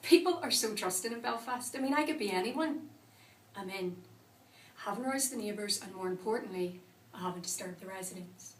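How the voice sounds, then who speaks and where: An actress performs as a woman carrying old trauma and a thirst for revenge. She tells her story as a dramatic first-person confession, weighing her phrases and leaving pauses. Her medium-pitched voice sits at a distance from the microphone in a reverberant room. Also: close to an everyday voice. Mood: tense, controlled. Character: vengeful, traumatised woman recounting a violent night. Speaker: actor performing a monologue.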